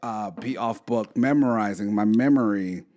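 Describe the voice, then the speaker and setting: A man's voice: on the low side, normal speed, mellow. A man co-hosting a casual podcast, chatting in a rambling way with lots of restarts. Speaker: podcast co-host. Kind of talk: casual chat.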